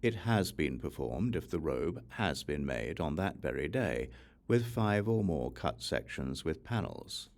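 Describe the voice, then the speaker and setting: Male audiobook reader for scripture: low, creaky voice, varied intonation, deliberate scripted delivery. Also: big pitch swings. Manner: measured, deliberate reading. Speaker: audiobook narrator. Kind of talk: scripted narration of a religious text.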